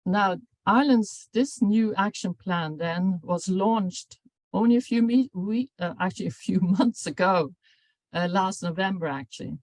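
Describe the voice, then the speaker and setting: An older woman, a professor, giving an academic seminar lecture in a measured, formal way, often correcting herself mid-sentence. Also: mid-range voice, a little mellow, dry recording. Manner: measured, formal delivery with frequent self-corrections. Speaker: professor and anti-bullying researcher.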